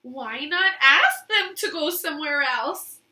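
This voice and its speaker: A woman's voice: sits high, lively intonation, slow pace, bright, forceful tone, recorded from a distance, full character voice. A woman voicing a cheerful, helpful female pig in a storybook reading, chirpy and reassuring.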